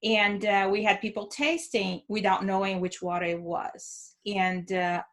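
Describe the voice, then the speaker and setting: A woman hosting an interview, asking long, measured, winding questions in a conversational way. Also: mid-range voice. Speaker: interview host.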